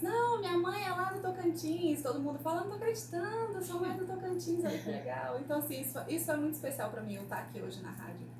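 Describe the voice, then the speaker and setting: A young Brazilian woman, a radio producer, talking casually and personally in an interview. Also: sits high. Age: young adult. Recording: echoey room, distant mic, background noise.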